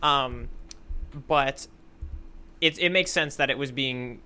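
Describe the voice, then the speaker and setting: A man's voice: medium pitch, slow, bright, forceful tone, smooth. A man co-hosting a podcast, chatting casually and thinking aloud as he reacts to a story. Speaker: podcast co-host.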